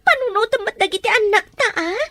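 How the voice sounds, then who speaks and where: A woman's voice: very high-pitched, a little fast, sharp tone, no room sound. A woman playing an emotional, anxious wife in an audio drama, speaking in a nagging, confrontational way. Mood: hurt, accusing. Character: jealous, anxious wife pleading over household money. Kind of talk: amateur audio drama dialogue.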